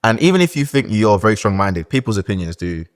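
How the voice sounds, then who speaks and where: Candid low-voiced man. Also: very animated, quick pace. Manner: candid, hesitant, restarts often. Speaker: guest. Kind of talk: casual podcast chat.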